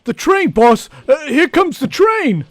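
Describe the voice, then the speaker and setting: High-pitched, stammering nervous henchman. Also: very animated, slow pace, noisy background, heavily acted. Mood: panicked. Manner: stammering, hesitant, starting with "uh". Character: jittery, slow-witted henchman of a crime boss. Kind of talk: 1940s radio serial acting.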